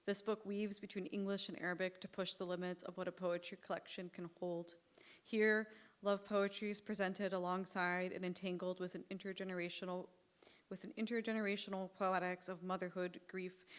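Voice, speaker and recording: A woman's voice: on the low side, flat delivery, brisk, smooth. A woman presenting an award, reading a prepared citation formally into a podium microphone on an auditorium stage. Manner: reads formally, stumbles and laughs at hard words.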